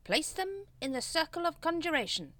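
Woman playing a shrill, pompous male cult leader. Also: very animated, normal speed, bright, forceful tone, dry recording, full character voice. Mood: commanding. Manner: theatrical, imperious, sarcastic. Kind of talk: storybook character voice in audiobook.

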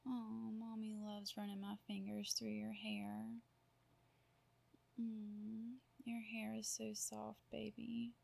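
Breathy, creaky, softly whispering woman. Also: medium pitch, monotone. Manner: soft cooing, half-whispered, drawn-out murmurs. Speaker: roleplay performer. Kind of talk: intimate whispered audio roleplay.

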